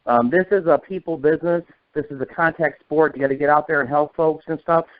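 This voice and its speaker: An American man of forty-five, a folksy fast talker giving a motivational sales training talk over the telephone. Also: fairly high pitch, steady tone, no room sound. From northern United States. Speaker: sales agency leader and trainer. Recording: narrow, tinny conference-call phone line.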